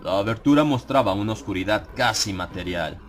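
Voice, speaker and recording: A man narrating a dramatized horror audiobook in a solemn, measured manner with suspenseful pauses. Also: on the low side, animated, a little hiss or hum. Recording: clear ambient sound effects behind the voice. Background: atmospheric horror sound effects.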